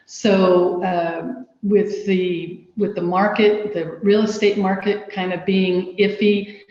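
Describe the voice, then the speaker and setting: A woman's voice: medium pitch, level tone, takes it slowly, dark tone. A woman from the United States answering interview questions in a friendly, conversational way. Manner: friendly, laughs often. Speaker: guest. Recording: echoey dull-sounding room.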